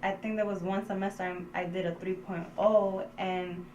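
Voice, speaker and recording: A woman's voice: mid-range voice, flat delivery, moderate pace, a little mellow, highs cut off. A young woman, a student, giving an informal and hesitant personal testimonial. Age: young adult. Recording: echoey room, faint background noise.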